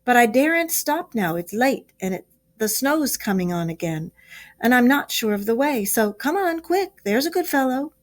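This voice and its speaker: A woman voicing a cheerful, brisk male rat who hurries his friend along. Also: on the high side, big pitch swings, pitched above the performer's own voice. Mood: hurried.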